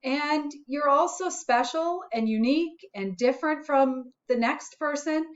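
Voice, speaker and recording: A woman with a slightly high voice speaks slowly and deliberately, as a school principal would to young children at story time. Her phrasing is simple and measured. The recording is a little dull and lo-fi. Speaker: school principal reading aloud to pupils. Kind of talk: children's storybook read-aloud.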